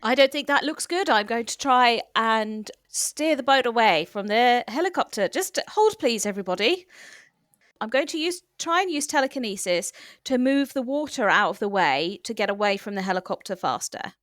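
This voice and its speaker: A woman's voice: on the high side, expressive, moderate pace, fairly bright tone, dry recording, studio quality. A woman playing an anxious, polite, hesitant hospital worker with strange powers in an improvised comedy game. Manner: hesitant, tentative. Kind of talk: improvised comic roleplay.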